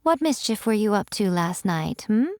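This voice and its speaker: A woman's voice: medium pitch, big pitch swings, moderate pace, darkish tone, no echo, studio quality, lightly acted. A woman voicing a sly, ageless fox spirit shrine maiden in a game, with a teasing, languid, theatrical and self-assured manner. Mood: playful teasing. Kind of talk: scripted game character voice lines.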